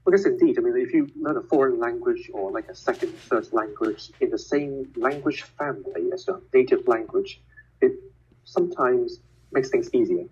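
A man whose first language is Cantonese explains things in a measured, patient way, pausing often on little filler words. His voice is very breathy and husky, at an ordinary middle pitch. The sound is muffled and lo-fi, like audio from a call. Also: a little mellow. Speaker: guest, physicist and educator. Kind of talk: casual conversation over a call.

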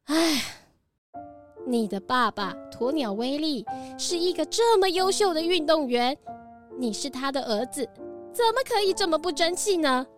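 A woman's voice: sits high, lively intonation, unhurried, soft background music. A woman voicing a strict, exasperated antelope who scolds in a children's audio drama. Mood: disappointed. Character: scolding antelope sports official, strict and disapproving.

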